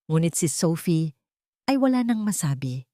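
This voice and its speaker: A woman narrates a scripted love drama. Her voice is low-pitched and the recording is very dry and close, with a dramatic storytelling delivery. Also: big pitch swings, moderate pace, dark tone, dry recording.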